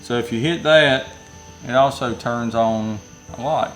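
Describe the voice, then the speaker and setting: A man's voice: medium pitch, unhurried, music in the background, poor audio. A man with a Southern US accent giving a casual, rambling product review from inside a metal building, often correcting himself mid-sentence. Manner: rambling, self-correcting, folksy.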